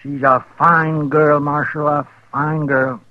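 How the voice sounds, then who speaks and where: An actor playing a lovestruck, stubborn rancher over sixty with a rural American West accent in an old-time radio western. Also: male voice, on the high side, little pitch movement, slow, no echo. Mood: proud, smitten. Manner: folksy, indignant. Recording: dull vintage radio recording.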